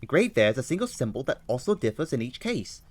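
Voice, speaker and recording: A man voicing an excitable Victorian gentleman detective with an attempted London accent. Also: medium pitch, quick pace. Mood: keen. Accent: attempted London English.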